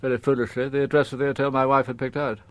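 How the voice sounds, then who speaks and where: A man's voice: mid-range voice, level tone, no echo, close to an everyday voice. Dignified upper-class father, played by a man for radio drama: mid-range voice, guarded confession, careful wording, dry studio sound. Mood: reflective, formal. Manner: formal, reticent, halting, precise.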